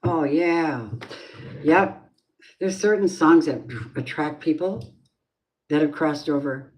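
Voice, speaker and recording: Slow-talking low-voiced woman reader. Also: dull audio. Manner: chatty, rambling, drifting off topic. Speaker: tarot reader. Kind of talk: casual live-stream reading.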